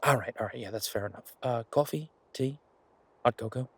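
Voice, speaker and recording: A man playing an affectionate, eager chef boyfriend in a romance audio roleplay, husky-voiced, teasing and earnest as he rambles to justify himself. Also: mid-range voice, a little fast, a bit bright, no echo.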